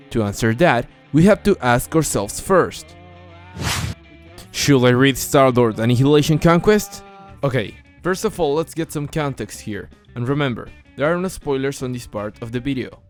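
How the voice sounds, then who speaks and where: A man's voice: medium pitch, darkish tone. A man reviewing a comic book as a channel host in earnest, scripted narration, often posing rhetorical questions to the viewer.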